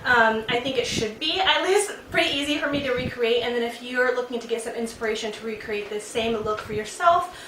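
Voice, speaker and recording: A woman's voice: fairly high pitch, a little fast, background noise. Stuffy-nosed woman vlogger, roomy sound. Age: adult aunt of a teen. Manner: chatty, giggly, many ums. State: stuffed up from a cold. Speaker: beauty vlogger host. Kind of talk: casual vlog chat. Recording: roomy sound, mic at a distance, faint music.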